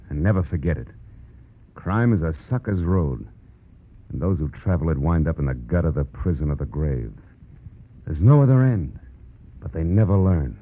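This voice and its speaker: Deep-voiced hard-boiled American detective. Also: male voice, husky, phone-quality sound, heavily acted. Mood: grim, stern. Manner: terse, cynical, tough-talking. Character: hard-boiled, world-weary male private detective narrator. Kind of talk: 1940s radio drama acting, noir narration. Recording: band-limited old radio recording with music.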